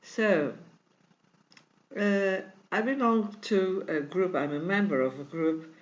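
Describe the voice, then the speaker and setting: Portuguese woman, non-native speaker, very slow and halting tutorial host, muffled home recording. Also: lowish voice. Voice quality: many pauses and fillers, searching for words. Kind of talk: hesitant tutorial explanation in a second language.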